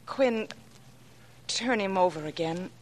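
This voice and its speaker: An actress playing a resolute, quick-thinking young woman in a 1940s radio thriller, urgent and decisive, on an old recording. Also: on the low side, animated, very noisy. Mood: urgent, determined.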